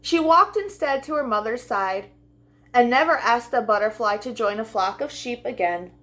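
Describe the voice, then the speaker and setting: A woman hosting a children's storytime, reading and talking directly to young listeners in an expressive way. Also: fairly high pitch, moderate pace. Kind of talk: storybook read-aloud and talk to young children.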